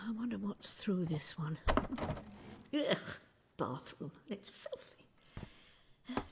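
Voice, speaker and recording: A grumbling, feisty old woman in a radio play. Her delivery is slow and indignant, leaping widely in pitch, over some background noise. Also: exaggerated voice. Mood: aching, grumbling. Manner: indignant, muttering, sighing. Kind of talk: radio drama acting.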